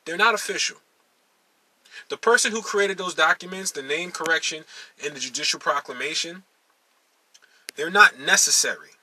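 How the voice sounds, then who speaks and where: A man's voice: fairly high pitch, normal speed, pauses often, pushed and bright, a little hiss or hum, low-quality recording. A man from Maryland giving an instructional monologue to his followers. He talks emphatically, repeats key phrases and calls his listeners y'all. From United States, Maryland. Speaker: teacher addressing followers.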